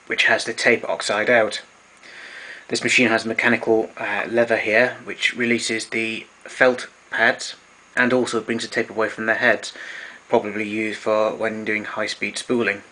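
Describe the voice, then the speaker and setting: A man's voice: fairly low pitch, sharp tone, rough recording. A man gives an informal technical demonstration in a matter-of-fact, explanatory way. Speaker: vintage tape recorder collector presenting. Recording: clear background noise, room ambience.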